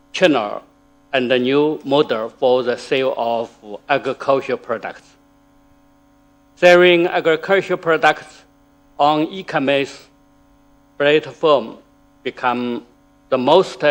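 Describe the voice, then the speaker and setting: A man whose first language is Chinese, giving a formal academic talk in a lecture hall, slow and halting with pauses and fillers. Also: medium pitch. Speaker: conference speaker.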